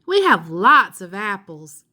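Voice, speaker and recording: A woman voicing a cheerful, bossy, literal-minded substitute teacher from a children's storybook, with bright, emphatic, drawn-out delivery. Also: medium pitch, sing-song, pitched above the performer's own voice, full character voice. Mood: puzzled, eager. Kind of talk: children's storybook read-aloud.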